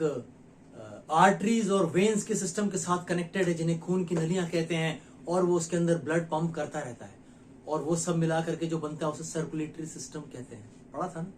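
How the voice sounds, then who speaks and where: A man from India with a fairly high voice, hosting his own health channel. He talks earnestly and persuasively to his viewers, pausing between phrases and asking rhetorical questions. A faint hiss sits under his voice. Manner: addresses viewers directly, with many rhetorical questions. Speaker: nutrition educator and channel host. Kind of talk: explanatory talk to camera.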